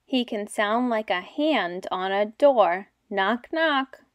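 A young woman teaching and reading aloud to small children in simple, deliberate phrases. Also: medium pitch, expressive, heavy background noise. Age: young adult. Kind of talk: children's read-aloud lesson.

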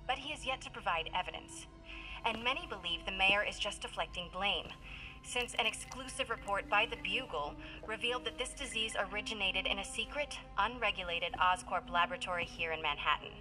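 A woman's voice: mid-range voice, music in the background, close to an everyday voice, poor audio. A young woman playing a serious TV news reporter in a video game, reading formally and evenly. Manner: measured, formal delivery.

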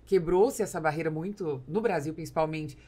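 A woman's voice: deep voice, normal speed, warm tone, breathy edge. A woman in her forties with a Brazilian accent, hosting a conversational business interview at a close studio mic. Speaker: podcast host. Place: small foam-treated podcast studio. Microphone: studio mic on boom arm, close.

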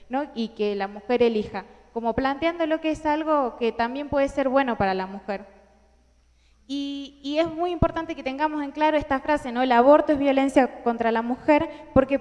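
A woman's voice: on the high side, darkish tone. A young woman with an Argentine accent giving an earnest talk to a large audience, with many fillers and restarts. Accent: Argentine Spanish. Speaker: conference speaker. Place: large indoor hall with audience. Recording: hall reverb.

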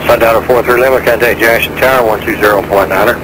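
Rapid-fire male air traffic controller on radio. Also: medium pitch, steady tone. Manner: clipped, procedural phrasing. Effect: aviation radio. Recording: aviation radio with loud engine noise.

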